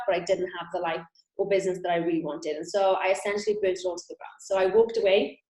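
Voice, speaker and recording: A woman's voice: mid-range voice, reverberant, poor audio. A woman in her thirties with a Welsh accent, giving a chatty, upbeat online sales training as a coach. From Wales. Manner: upbeat, chatty, direct to camera.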